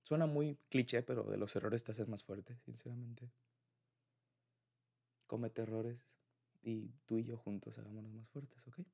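Casting: Mexican man, mid-pitched with a gravelly fry, for casual, intimate boyfriend-roleplay audio; affectionate, loose delivery. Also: fairly even intonation, unhurried, pauses often, darkish tone. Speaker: ASMR roleplay creator playing a boyfriend.

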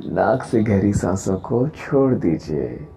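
A man leading a guided meditation in a calm, gentle, deliberate way, with long pauses between phrases. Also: lowish voice. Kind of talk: guided meditation, slow soothing instruction. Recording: voice over background music. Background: meditation music.

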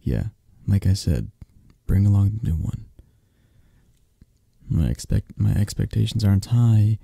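Gravelly, slow-talking mafia boss acted by a man. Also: lowish voice, lively intonation, mellow, no echo, clean studio sound, heavily acted. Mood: cool, appraising. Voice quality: husky, gravelly, at times breathy. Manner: slow, murmuring, teasing, with drawn-out pauses. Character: possessive, flirtatious, intimidating male mafia boss. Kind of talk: ASMR roleplay acting.

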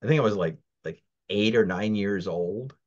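A man's voice: lowish voice, moderate pace, darkish tone. A man in his sixties, originally from the Philippines, who speaks with an American accent, recounting his childhood at an easygoing pace as a guest on an interview, full of restarts and fillers. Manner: rambling, many restarts and fillers. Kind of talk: casual interview. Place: home, virtual background. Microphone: video call. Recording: dull lo-fi video call audio.

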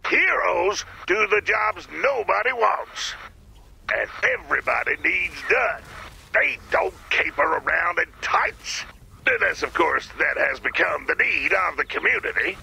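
A man voicing a folksy, self-important old janitor in a video game, raspy and portentous, through a radio filter. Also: high-pitched, very animated, a little slow, sharp tone, a little hiss or hum, heavily acted. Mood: preachy, earnest. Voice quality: raspy, creaky. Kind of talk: video game character dialogue.

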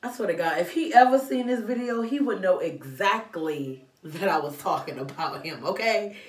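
A young woman telling a story in a vlog, sassy and animated, filmed by a camera in a small room at home. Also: mid-range voice, moderate pace, reverberant, distant mic, a little hiss or hum. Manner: animated and sassy, waving her arms. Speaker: vlogger. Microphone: camera in front of her.

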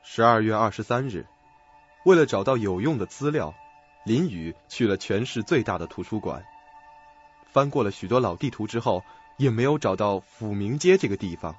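A man with a husky voice reading scripted narration for a suspense radio drama. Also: lowish voice, animated, moderate pace. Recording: dull lo-fi audio. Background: faint music.

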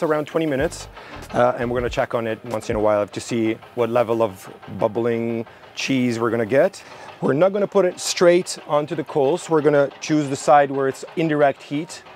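A young man cooking outdoors at a barbecue, relaxed and explaining each step as he works. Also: mid-range voice. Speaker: cooking show chef. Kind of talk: cooking demonstration. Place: outdoor garden by a barbecue grill.

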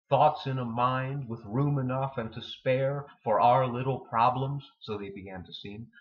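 Guy reading a poem out loud, pauses between phrases, average pitch, kinda dull lo-fi recording. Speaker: poetry reader. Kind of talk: literary poetry reading. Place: indoor room with bookshelves.

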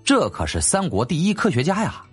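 A man voicing a confident, excitable young nobleman in an audiobook, exclamatory and casually commanding. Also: on the high side, brisk, breathy edge, soft background music, voice pushed up, heavily acted. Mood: excited.

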